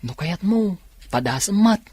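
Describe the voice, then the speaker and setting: A man's voice: sits high, expressive, measured pace, darkish tone, breathy edge, no echo, exaggerated voice. A man with an Ilocano accent playing an earnest, grateful and hopeful young factory worker in a radio drama. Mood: earnest, encouraging.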